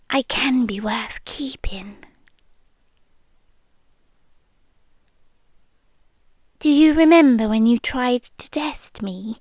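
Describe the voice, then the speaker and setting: A woman's voice: on the high side, no echo, studio quality. A British woman playing a lonely, obsessive, affectionate stalker girl in an intimate ASMR roleplay, speaking tenderly and breathily, slipping into whispers. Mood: hushed, hurt. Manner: slow, breathy, tender, with pauses and sighs. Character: lonely obsessive bodiless young female stalker, possessively affectionate. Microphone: close microphone.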